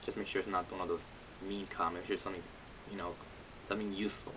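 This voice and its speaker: A man's voice: little pitch movement, rapid-fire, highs cut off, background noise. A young man casually commentating his own video game battle, rambling with lots of fillers. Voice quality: says he has a deep voice. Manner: rambling, filler-heavy, lots of "like" and "you know".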